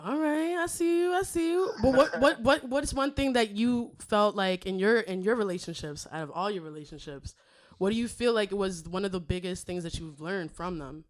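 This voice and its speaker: A woman's voice: medium pitch, moderate pace, dry recording, studio quality. A woman hosting a casual podcast, asking questions in a playful, easygoing way. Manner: playful, laughs often. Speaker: podcast host.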